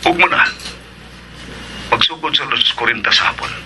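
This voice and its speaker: A man's voice: mid-range voice, a little fast, music in the background, natural voice. A man acting a deferential subordinate reporting to his boss in a Filipino radio action drama, with a Filipino accent. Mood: respectful, explaining. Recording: noisy ambience behind radio drama voice.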